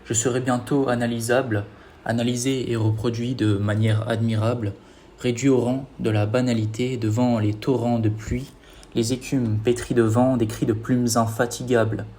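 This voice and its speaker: A man's voice: mid-range voice, level tone, moderate pace, a little mellow, slight background noise. A man reciting his own poem as spoken word, in a rhythmic, declamatory manner.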